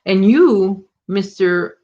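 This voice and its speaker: A woman's voice: mid-range voice, expressive, extremely slow, mellow, muffled recording. A woman with a Chicago accent hosting a casual crochet livestream from home, chatting and teasing while she works. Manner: chatty, reads viewer comments, teasing.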